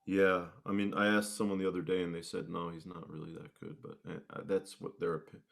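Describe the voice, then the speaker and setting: Low-voiced man in casual conversation. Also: flat delivery, brisk, vocal fry, fairly bright tone. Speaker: guest musician. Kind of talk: casual chat.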